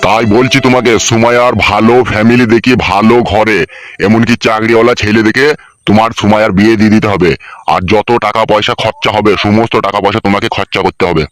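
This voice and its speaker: A stern village elder in a cartoon acted by a man, laying down judgement. He talks fast in a mid-range voice, coarse and scolding, with faint music behind him. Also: on the low side, fairly even intonation, dry recording, natural voice. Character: stern, foul-mouthed village elder judging a wrongdoer. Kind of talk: cartoon voice acting.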